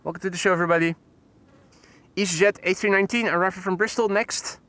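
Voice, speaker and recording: A man's voice: sits high, steady tone, quick pace, bright, forceful tone. A man hosting a live plane-spotting stream, chatting and greeting viewers by name as he commentates. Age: 40s.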